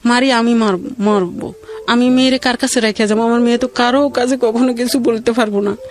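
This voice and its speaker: A middle-aged woman with a colloquial regional Bangladeshi accent tells her own life story in a halting, rambling, plaintive way. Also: on the high side, fairly even intonation. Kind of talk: emotional personal storytelling.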